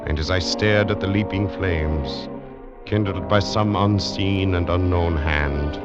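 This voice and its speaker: A grave Foreign Legion commandant with a deep, solemn voice, telling a haunted tale in golden-age radio drama style. The old recording is dull, and music plays prominently behind him. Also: male voice. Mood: haunted awe. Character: grave, shaken French Foreign Legion commandant recounting a mystery. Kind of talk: 1940s radio drama acting.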